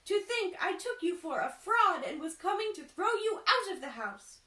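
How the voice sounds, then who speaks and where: Shrill, gushing merchant's wife, acted by a woman. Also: very animated, moderate pace, full character voice. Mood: astonished, gushing. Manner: gushing, loud. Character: excitable, awestruck cloth merchant's wife. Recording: roomy echo, distant microphone.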